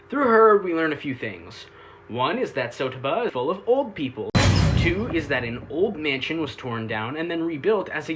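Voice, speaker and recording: Casual review narrator, middle-pitched and highly animated, sardonic and dryly joking in delivery. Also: a little hiss or hum. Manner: sarcastic asides and dry jokes.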